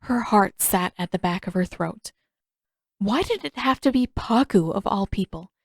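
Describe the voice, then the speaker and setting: A woman's voice: medium pitch, animated, moderate pace, dry recording, clean studio sound. A woman reading scripted fiction aloud as an audiobook narrator.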